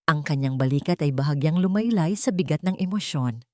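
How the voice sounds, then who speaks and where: A woman narrating a scripted drama story in a solemn, deliberate, dramatic way. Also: low voice, expressive, no echo, studio quality.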